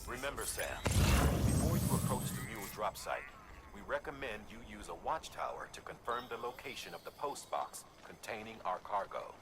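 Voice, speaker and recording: An actor playing a steady, practical operations man in a video game, giving scripted instructions in a matter-of-fact way. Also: on the low side, moderate pace, natural voice. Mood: cautionary. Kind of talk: scripted mission briefing. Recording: clear background noise and ambience.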